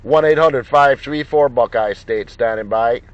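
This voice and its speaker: A man's voice: medium pitch, measured pace, heavy background noise. A man making CB radio contacts, repeating names and call signs in chatty operator patter. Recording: dull, muffled lo-fi sound.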